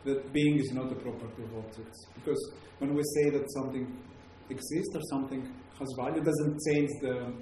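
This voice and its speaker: A man with a Greek accent lectures to an audience, pausing often on fillers and restarting his sentences. His voice is mellow and dark. It rings out in a very echoey room over a faint hum of background noise. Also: medium pitch, steady tone. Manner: hesitant, many fillers and restarts. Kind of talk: academic talk to a live audience.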